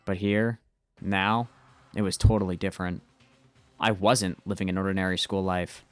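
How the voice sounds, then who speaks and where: A man's voice: low voice, steady tone, moderate pace, clear, resonant tone, dry recording, soft background music, natural voice. A man playing an anxious, earnest teenage schoolboy, narrating in the first person for an amateur game dub. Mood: uneasy, reflective.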